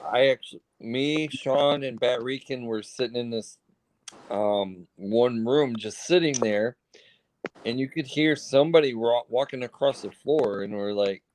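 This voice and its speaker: A man from Michigan hosting a casual group video call, telling a story in a halting, rambling way. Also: mid-range voice, darkish tone, slight background noise, poor audio. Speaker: host.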